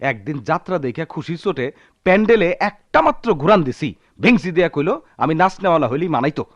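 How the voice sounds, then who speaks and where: An actor playing a proud, hot-tempered poor village husband in a Bengali radio drama, with a rural Bangladeshi accent and a theatrical, defiant manner. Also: male voice, a little high, expressive, fast, dull audio, close to an everyday voice. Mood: angry, defiant.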